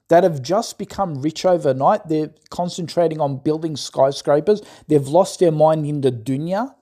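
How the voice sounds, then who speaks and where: A man's voice: sits high, mellow. A man in an impassioned, scolding monologue who keeps asking "right?". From Australia. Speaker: commentator.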